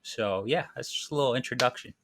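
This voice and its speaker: A man's voice: medium pitch, quick pace, a bit bright, no echo. A young man from Morocco who grew up in the US, chatting informally as a podcast guest, explaining things a bit hesitantly. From born in Morocco, raised in US from age eight. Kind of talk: casual chat.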